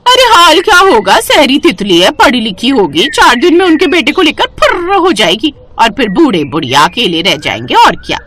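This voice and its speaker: An actress playing a gossipy, scornful village neighbour in a cartoon story, mocking and exclaiming. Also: female voice, high voice, sing-song, normal speed, hardly any pauses, bright, forceful tone, heavily acted. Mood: scornful gossip.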